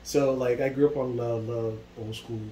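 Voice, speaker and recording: A man's voice: mid-range voice, steady tone, normal speed, mellow. A man from Barbados hosting a casual interview, enthusiastic and peppering his talk with "like". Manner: enthusiastic, repeats "like" often. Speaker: interview host. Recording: echoey room with faint hum.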